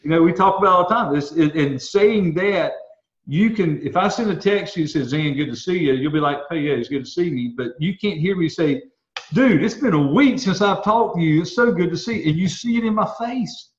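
A man co-hosting a casual chat, with a slightly high voice. He rambles through his stories with animated restarts. The recording is roomy and dull, with a lo-fi edge. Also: a little fast. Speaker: co-host.

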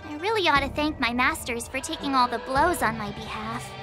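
A woman's voice: sits high, fairly quick, music in the background, lightly acted. A woman voicing a perky, earnest teenage sword apprentice in an anime game. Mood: earnest, modest.

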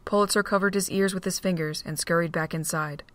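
Woman narrating steady, lowish voice, dry recording. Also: a little fast, dry recording. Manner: steady storytelling. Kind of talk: scripted narration of a fan audio drama.

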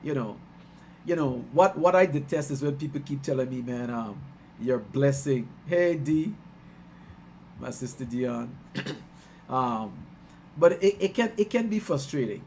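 A middle-aged man from Guyana, a musician talking casually on a video call, warm and chatty, laughing often and getting excited as he tells stories. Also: medium pitch, measured pace, slight background noise. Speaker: musician hosting his album release stream. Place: small room at home. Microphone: headset on a video call.

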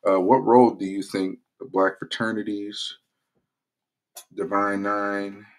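A man's voice: lowish voice, slow. A man hosting his own political commentary channel, talking loosely in a casual vlog promo with lots of fillers and restarts. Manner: relaxed, rambling with many fillers.

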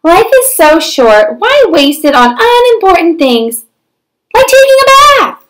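A woman's voice: very high voice, big pitch swings, a little slow, voice pushed up, full character voice, poor audio. A woman voicing a stubborn, dramatic pigeon in a storybook read-aloud, with exaggerated, theatrical delivery. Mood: defensive, indignant. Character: stubborn, dramatic cartoon pigeon avoiding a bath.

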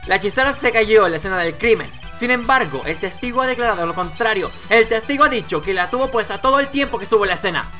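A woman reads video game dialogue aloud in a theatrical way, with very animated pitch and a bright, forceful tone. She shifts between character voices as she goes, with music playing behind her. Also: fast talker, hardly any pauses.

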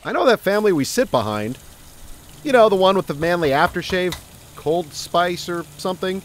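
An actor playing an easygoing suburban dad in a family audio drama. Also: male voice, sits high, animated, moderate pace, slight background noise.

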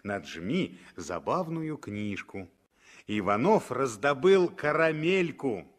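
A man narrating a 1960s radio drama as a storyteller, with expressive, dramatic phrasing. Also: mid-range voice, slow.

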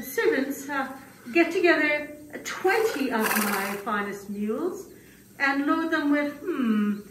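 A woman voicing a gracious, puzzled queen in a puppet show, musing politely and haltingly. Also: sits high, a bit bright. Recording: roomy, distant mic with background noise.

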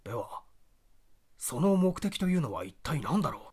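A man's voice: a little high, sing-song, normal speed, darkish tone, slightly rough. A man voicing an earnest, puzzled young male amateur sleuth, muttering and reasoning aloud in a dramatised audiobook. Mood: pondering, excited. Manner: muttering to himself, deliberating aloud. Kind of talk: dramatised audiobook character reading.

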